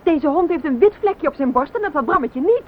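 A woman's voice: high voice, expressive, brisk. An actress playing a distressed wife who urgently and emphatically pleads for her accused husband in a radio play. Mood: urgent, insistent. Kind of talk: Dutch radio play acting. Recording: tinny, narrow-band noisy old radio recording.

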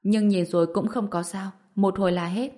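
A woman voicing an excitable, admiring young village wife with an eager, deferential manner in an audiobook. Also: medium pitch, moderate pace, lightly acted. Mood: excited, helpful.

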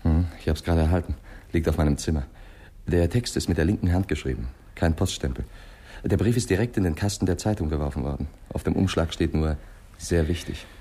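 An actor playing an eager, dutiful junior police inspector who reports briskly in a 1960s German radio crime drama. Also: male voice, rumbling low, mellow, husky, heavily acted.

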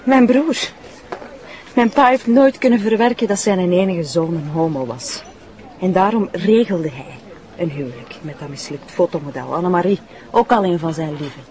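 A woman's voice: fairly low pitch, normal speed, darkish tone, lightly acted. A woman playing a bitter, defiant young runaway addict in a TV drama, with a Flemish accent and a coarse, contemptuous way of talking. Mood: bitter, scornful. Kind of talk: naturalistic TV drama acting.

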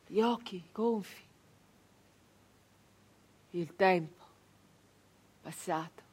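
An actress playing a captured nineteenth-century brigand woman, wounded, bitter and doomed, in an intense, halting theatrical monologue. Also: medium pitch, darkish tone, slightly rough. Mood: weary, sorrowful. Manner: intense, halting delivery with repeated phrases.

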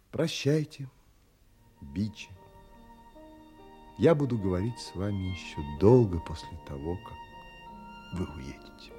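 An actor playing a romantic, anguished man in love in an audio drama, tender and troubled, pleading and hushed at times, with music under his voice. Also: lowish voice, husky, exaggerated voice. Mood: desolate. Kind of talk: audio drama acting and first-person narration.

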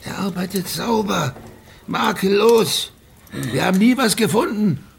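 An actor playing a tense, defensive provincial police chief in a noir radio drama, agitated, insistent and clipped. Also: male voice, sits high, animated, unhurried, vocal fry, slight background noise, exaggerated voice. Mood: exasperated.

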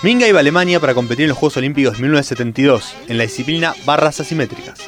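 An actor as a mock-dramatic radio serial narrator. The reading is quick and lively, swinging in pitch, with music behind. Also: close to an everyday voice. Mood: mock-grand.

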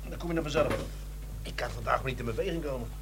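An actor playing a tired, subdued police detective, in a radio drama. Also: male voice, mid-range voice, very fast, noisy background, natural voice. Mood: weary. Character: weary, sluggish male detective. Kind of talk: 1990s radio drama acting.

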